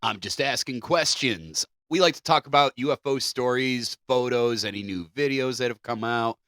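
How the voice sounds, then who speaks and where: A man riffs casually as a comedy podcast co-host. His voice is mid-pitched with a bright, forceful edge. It is recorded close and dry on a podcast mic. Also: normal speed, no echo.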